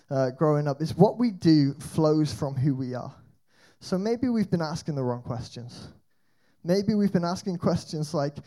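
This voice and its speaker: Young male speaker, lightly raised pitch, mellow tone, chatty storyteller giving a faith talk. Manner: storytelling, conversational, rhetorical questions. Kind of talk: energetic youth talk. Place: church hall with band.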